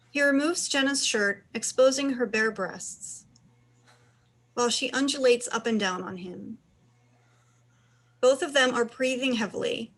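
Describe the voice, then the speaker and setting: A woman's voice: on the high side, fairly bright tone, poor audio. A woman reading stage directions as scripted narration for a play.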